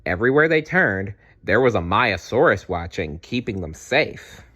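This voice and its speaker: A man reading a children's storybook aloud in an expressive, unhurried way, adding small asides. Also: on the low side, slightly rough. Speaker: children's book read-aloud narrator.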